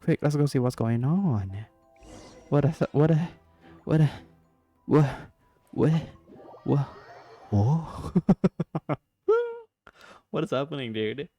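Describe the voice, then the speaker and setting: A man's voice: medium pitch, takes it slowly, pauses often, dark tone, faint music behind. A man doing casual, playful reaction commentary on a game, sometimes reading the on-screen text aloud. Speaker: gamer doing a playthrough.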